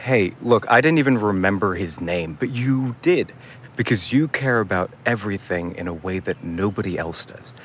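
A man's voice: lowish voice, animated, normal speed, dull audio, slight background noise, close to an everyday voice. A man playing a supportive, easily exasperated young sibling in a naturalistic audio drama, wry and a bit clueless. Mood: earnest, encouraging.

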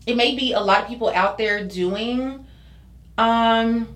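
A woman's voice: a little high, slow pace, a bit bright. A woman in her twenties chatting candidly and informally as a podcast guest, using casual slang. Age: 20s. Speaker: podcast guest, social media content creator. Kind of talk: casual conversational interview. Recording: echoey room sound.

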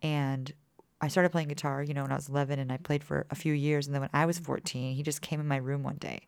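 A woman in her thirties with a low voice, chatting casually as a musician guest and filling her talk with "like". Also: little pitch movement, fast talker, creaky, a little mellow, clear, resonant tone, no room sound. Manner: chatty, peppered with "like" fillers. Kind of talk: casual interview chat.

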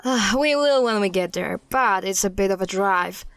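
A woman playing a brisk, secretive private investigator in audio drama dialogue. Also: mid-range voice, lively intonation, a bit bright, dry recording. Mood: purposeful.

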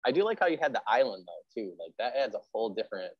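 Male interview host, low voice, effusive and conversational, dry but slightly muffled lo-fi recording. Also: big pitch swings, quick pace, husky, dry recording. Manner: effusive, conversational, heavy use of "like". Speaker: history teacher. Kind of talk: casual interview chat.

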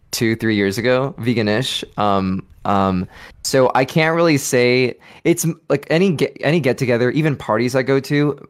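A man as a guest on a casual podcast, talking in a relaxed, thoughtful way with small laughs. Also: medium pitch. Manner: relaxed, thoughtful, many fillers and restarts, light laughs.

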